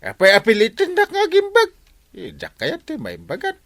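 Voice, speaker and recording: Comic radio drama: lazy Ilocano village captain, whiny, stammering, bright close dry sound, pitch leaping everywhere. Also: male voice, wildly swooping pitch, normal speed, dry recording, exaggerated voice. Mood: whining, feigning. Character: lazy village captain whining and feigning illness.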